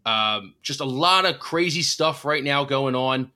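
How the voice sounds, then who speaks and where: Bright-voiced male sports show host. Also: mid-range voice, expressive, a little slow. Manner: laid-back, rambling storytelling. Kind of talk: casual sports talk.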